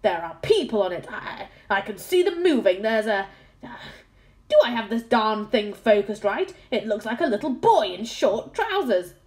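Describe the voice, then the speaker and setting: A woman's voice: fairly high pitch, normal speed. Woman playing a blustering old sea captain. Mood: astonished. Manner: excitable, stammering exclamations. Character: flustered old male ship captain, blustering.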